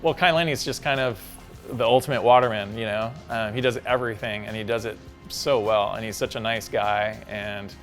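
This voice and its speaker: A middle-aged man from Hawaii, a company co-founder talking casually and quickly, full of "like" and "you know". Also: on the low side, fairly even intonation, a bit bright, faint music behind. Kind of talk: casual promotional chat.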